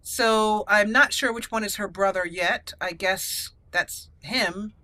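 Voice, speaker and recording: Bright-voiced woman doing gameplay roleplay. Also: medium pitch, takes it slowly, no room sound. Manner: switches into regal in-character lines. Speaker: let's play host.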